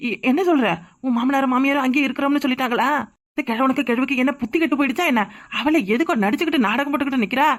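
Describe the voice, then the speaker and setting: A woman's voice: high voice, very fast, close to an everyday voice. A woman playing a scornful, scheming confidante in a comedy skit. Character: scheming, sharp-tongued village confidante. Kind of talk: village family comedy skit.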